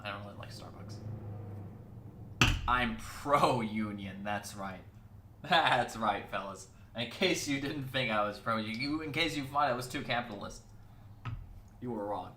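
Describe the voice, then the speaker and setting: A man hosting a weekly casual chat show, talking in a rambling way with lots of fillers and restarts, his voice hoarse. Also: fairly low pitch, measured pace, long pauses, pushed and bright, echoey room, a little hiss or hum. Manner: rambling, filler-heavy, self-correcting. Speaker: host.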